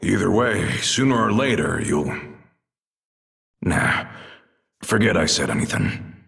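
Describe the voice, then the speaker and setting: An actor playing a stern, seasoned warrior mentor in a video game, gruff and blunt as he instructs. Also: low-pitched, sing-song, slow, fairly bright tone, in a large hall, far from the mic, exaggerated voice. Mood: stern, instructive. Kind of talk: video game cutscene voice acting.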